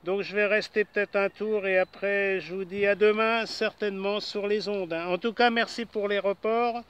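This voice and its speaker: A man with a high, bright and clean-sounding voice talks casually as an amateur radio operator. He is outdoors at a portable station, passing on news to the other operators in a relaxed conversational style. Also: normal speed, clean tone.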